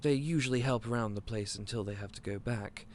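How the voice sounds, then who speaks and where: An actor playing a gentle, clumsy candy shop owner who stammers and speaks in a polite, old-fashioned way. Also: male voice, lowish voice, brisk, slight background noise, natural voice. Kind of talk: audio roleplay acting.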